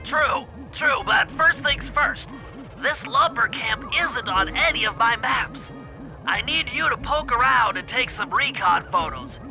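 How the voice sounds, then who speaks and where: A fussy young turtle brainbox, pitched extremely high. He talks in a precise, bookish way, briefing like a cartoon game gadget expert, with music clearly behind him. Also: lively intonation, unhurried, full character voice, rough recording. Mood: brisk, instructive.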